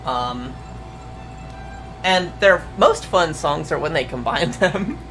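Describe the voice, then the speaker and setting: A young adult streamer talks casually to their chat, wandering through answers with constant ums and uhs and the occasional curse. The voice sits at a middling pitch. Music plays clearly underneath. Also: expressive, slow, a bit bright, rough recording. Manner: rambling, hesitant, many fillers, mild swearing. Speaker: VTuber streamer. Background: music playing.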